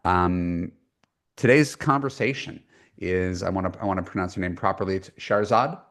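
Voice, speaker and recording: A man's voice: deep voice, moderate pace, a bit bright. A man hosting a dating-advice podcast, chatty and self-deprecating, with lots of fillers and restarts. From United States. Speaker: podcast host and dating coach. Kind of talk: conversational podcast intro and coaching.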